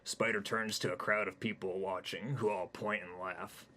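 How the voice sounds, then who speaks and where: A man reading his own comic story aloud in a deadpan, rambling narration. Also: low-pitched, moderate pace.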